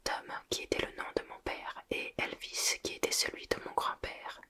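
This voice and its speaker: A woman's voice: moderate pace, sharp tone, lightly acted. A woman whispering a polite, uneasy teen boy prefect.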